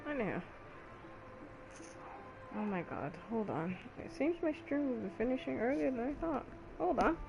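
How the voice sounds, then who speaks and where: Woman streamer, casual live gaming commentary, voice a little high, noisy recording. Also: very animated, normal speed, creaky, pauses often, lo-fi sound. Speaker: game streamer.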